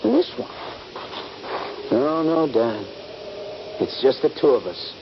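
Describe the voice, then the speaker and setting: A man's voice: medium pitch, big pitch swings, slow, vocal fry, heavily acted. An actor playing a bold, eager young Union Army soldier in a radio drama, confident and dramatic in his urging. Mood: urgent. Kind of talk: radio drama acting with first-person narration. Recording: dull, noisy 1970s radio recording.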